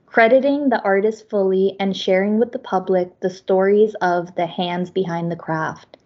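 A woman podcast host reading a guest introduction aloud in a measured way. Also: medium pitch, fairly even intonation, muffled recording.

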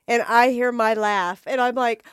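A woman co-hosting a podcast, telling stories in a chatty, animated way. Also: a little high, a little slow, clean tone, no room sound, studio quality. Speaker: podcast co-host. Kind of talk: casual chat.

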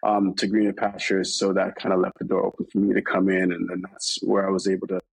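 A man's voice: deep voice, completely flat delivery, quick pace, vocal fry, husky, poor audio. A man from New York talking casually in an interview, rambling with many fillers and restarts. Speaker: interview guest.

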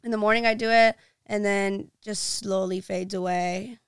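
A woman's voice: medium pitch, steady tone, moderate pace, clean tone, no echo, studio quality. A young woman hosting a casual chat, talking loosely with lots of "like" and "you know". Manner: chatty, full of "like" and "you know". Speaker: podcast host.

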